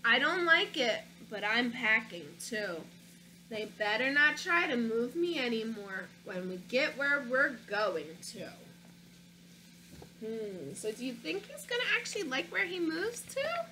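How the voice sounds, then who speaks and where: Bright, lively woman teacher reading aloud. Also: on the high side, a little slow, a little hiss or hum, rough recording. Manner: stops to ask young listeners questions; expressive storytelling delivery. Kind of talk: reading a picture book aloud to children.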